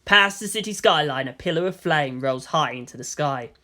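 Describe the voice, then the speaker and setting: A woman's voice: low-pitched, expressive, normal speed, sharp tone. A woman narrating fan fiction in a dramatic storytelling style.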